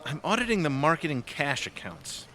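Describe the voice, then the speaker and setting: An actor playing a smug, boastful office boss who fancies himself with women, in an audio comedy drama. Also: male voice, a little high, big pitch swings, moderate pace, pushed and bright.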